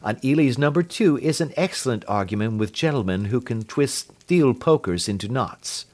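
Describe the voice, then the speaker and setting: Unbothered wry detective, bland and quiet, lively pitch, guy doing the voice. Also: moderate pace, close to an everyday voice. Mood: explaining. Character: calm, amused, clever male detective.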